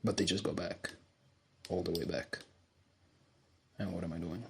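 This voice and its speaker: A man with a deep voice talking quietly through his moves as he plays an online chess game. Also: fairly even intonation, moderate pace, vocal fry, a little hiss or hum. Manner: quiet, low-voiced thinking aloud. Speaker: chess streamer. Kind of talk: live game commentary.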